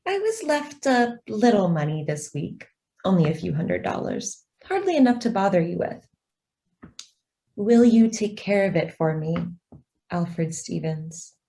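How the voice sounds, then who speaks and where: Female actor as serene mystery girl for radio play: mellow, unhurried, softly persuasive, mid-range voice. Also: mid-range voice, very animated. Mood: quiet trust. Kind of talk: stage-style radio drama acting.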